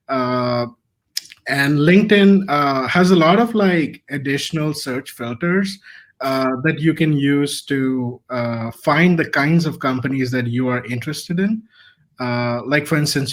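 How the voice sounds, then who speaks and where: A man from India giving a casual slide presentation on a webinar, relaxed and full of fillers. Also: a little high. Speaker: webinar panelist. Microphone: computer mic on a video call.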